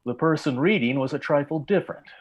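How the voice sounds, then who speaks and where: A man narrating a scripted story in old-time radio style, with measured, deliberate delivery and dramatic pauses. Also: fairly high pitch, dull audio.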